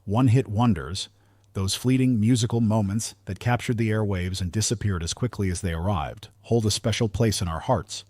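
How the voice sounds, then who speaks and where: A man reading scripted countdown narration in a polished, measured storytelling manner, close on the microphone. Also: lowish voice, darkish tone, no room sound.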